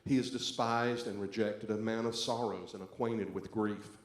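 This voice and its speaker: A man's voice: on the low side, steady tone, normal speed. A man speaking as a pastor, introducing a church service and leading a scripture reading. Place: church sanctuary. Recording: echoey church, faint background noise.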